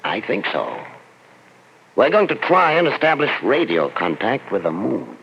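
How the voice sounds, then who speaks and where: An actor playing a genial older army scientist, easygoing and chuckling, on an old radio drama recording. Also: male voice, lowish voice, wildly swooping pitch, unhurried, gravelly, fairly bright tone, husky, dull audio, exaggerated voice.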